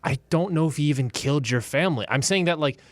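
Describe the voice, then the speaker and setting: A man playing a conflicted adventurer who trusts his gut, speaking haltingly with stammers in a tabletop game. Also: on the high side, animated, no room sound, voice pushed up, close to an everyday voice. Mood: conflicted, pleading. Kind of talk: improvised tabletop roleplay.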